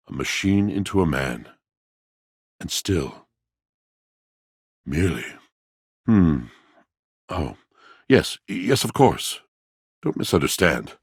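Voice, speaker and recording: An actor playing a lovelorn, poetic washing machine in a video game, with florid, dramatic phrasing and a husky voice. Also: male voice, rumbling low, no echo, clean studio sound, exaggerated voice. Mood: wondering, wistful. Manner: florid, grandiloquent, dramatic phrasing with weighty pauses. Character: lovelorn, poetic, theatrical male washing machine come to life. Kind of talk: theatrical video game character acting.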